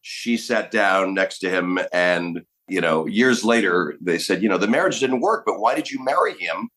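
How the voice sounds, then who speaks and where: A middle-aged man from Los Angeles, a guest on a podcast, telling stories in a relaxed, casual way. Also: deep voice, normal speed, rough recording. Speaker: guest actor. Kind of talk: casual interview chat.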